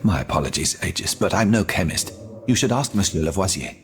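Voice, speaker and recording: A man voicing a courtly, fatherly older French astronomer in a video game, fussy and expressive, with a French accent. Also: deep voice, fairly quick, slight background noise. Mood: genial, thoughtful. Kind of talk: scripted video game cutscene dialogue.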